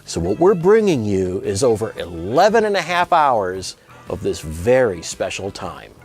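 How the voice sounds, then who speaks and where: A man's voice: on the low side, very animated, measured pace, a little mellow. A man presenting a scripted promotional narration as the person behind a music archive project. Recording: music bed under voice.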